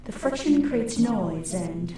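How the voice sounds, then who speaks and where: Radio drama creature: actress voicing an eerie, curious insect swarm, slow and mellow, formal in phrasing. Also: female voice, medium pitch, animated. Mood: eerily polite. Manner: measured, formal, speaks as "we". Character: eerie insect hive-mind creature, curious, speaking as many. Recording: echoing, distant voice with faint noise.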